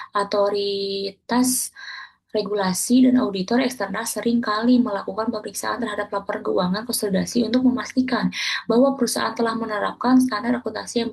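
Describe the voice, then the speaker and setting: Woman student presenter, husky tone, even pitch, reads steadily from slides. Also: brisk. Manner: reads steadily from slides, with small self-corrections. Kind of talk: reading a class presentation.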